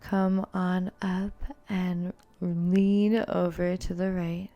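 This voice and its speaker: Woman, yoga instructor, slightly low pitch, unhurried slow pace, calm soothing guidance, gentle cues. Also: dull audio, soft background music. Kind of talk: guided yoga and meditation instruction.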